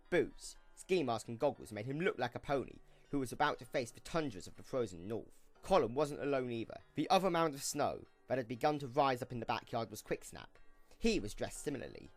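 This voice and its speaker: Male narrator for an audio drama: expressive, dramatic read of scripted story over background music. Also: mid-range voice, a little fast.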